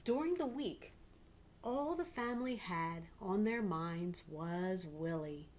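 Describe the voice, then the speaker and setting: A middle-aged woman reads aloud expressively like a teacher, pausing to prompt young listeners. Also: mid-range voice, a little mellow. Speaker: teacher reading a picture book aloud to pupils. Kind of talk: read-aloud storytelling with questions to children. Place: small living room indoors. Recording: clearly noisy home webcam recording.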